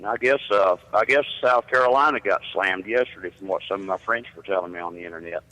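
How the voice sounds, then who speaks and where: A man's voice: low voice, fast, bright, forceful tone. A middle-aged man with a Southern accent, a truck driver chatting easygoingly as a guest over a phone line. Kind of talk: casual chat over phone. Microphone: phone call.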